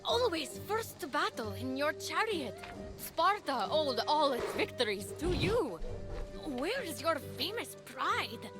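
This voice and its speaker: Young adventurous heroine, high-pitched, very animated intonation, cheeky, coaxing, earnest, video game cutscene. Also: female voice, slow, over music, heavily acted. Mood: incredulous, urging. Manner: eager, coaxing, teasing.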